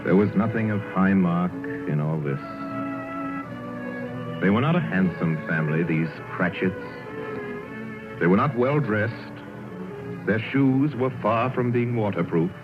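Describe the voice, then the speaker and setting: A man narrating the story in measured, dramatic style. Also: low voice. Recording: phone-like old radio broadcast with music.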